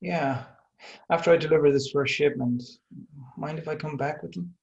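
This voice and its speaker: A man acting as a swaggering bounty cop in a screen drama. His voice sits a little high for a man and crackles with gravel, and he talks in a laconic, teasing, self-assured way. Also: moderate pace, muffled recording. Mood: easygoing. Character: wry, confident male bounty cop, smooth flirtatious swagger. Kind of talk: screenplay table read, dramatic dialogue.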